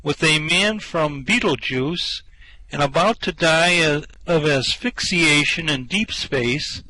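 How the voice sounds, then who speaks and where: Hapless space castaway for radio comedy, played by a man: anxious Englishman, sharp forward voice, slow, plaintive delivery. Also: on the high side, exaggerated voice, low-quality recording. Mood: rueful. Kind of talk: comic science fiction radio play acting.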